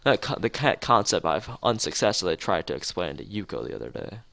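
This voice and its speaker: A man's voice: sits low, big pitch swings, fairly quick, vocal fry, fairly bright tone, no room sound. A man reading a visual novel aloud as narration, now and then stumbling and rereading phrases, with music behind him. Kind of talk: dramatized read-aloud narration of a game.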